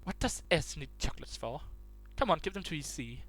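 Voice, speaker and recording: A man's voice: fairly high pitch, expressive, brisk, fairly bright tone. A man reading online posts aloud in a casual way, stumbling and restarting words as he goes.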